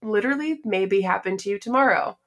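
A woman podcast co-host with a noticeably creaky voice at a middling pitch. She chats casually and informally, in loose, conversational phrasing. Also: animated, brisk. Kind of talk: casual chat.

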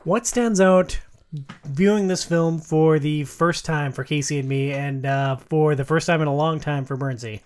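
A man's voice: on the high side, normal speed, clean tone. A man hosting a podcast, talking casually about movies and sometimes reading from notes. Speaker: podcast host. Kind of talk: casual movie discussion with read-out review quotes.

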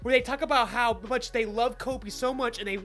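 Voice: mid-range voice, fairly even intonation, a little fast, fairly bright tone. An opinionated podcast co-host casually reviewing a film, speaking emphatically and swearing freely.

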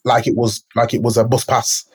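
Man, narrow even pitch, thoughtful, reflective conversation. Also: a little fast, breathy edge. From Manchester, England. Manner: thoughtful, searching for words. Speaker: poet, guest.

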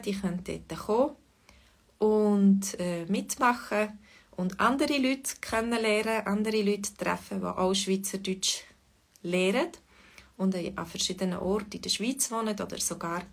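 Woman, Swiss German dialect mix, casual teacher, thoughtful pauses. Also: mid-range voice. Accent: Swiss German, Bernese-Lucerne mix. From Switzerland. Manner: thoughtful, many pauses and fillers. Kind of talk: relaxed spoken lesson.

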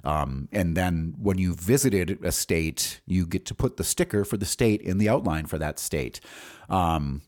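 A man with an American accent speaks in a low, deep voice as he hosts a personal podcast. He tells stories from his life in a loose, rambling monologue. His delivery is informal and halting, as if working through memories aloud. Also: lively intonation, darkish tone. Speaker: podcast host. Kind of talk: casual personal storytelling, monologue.

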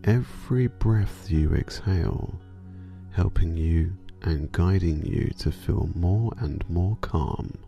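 Man, meditation guide, low gravelly creak, unhurried hypnotic delivery, soothing, drawn-out phrases. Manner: soothing, slow, drawn-out phrasing with soft breathy endings.

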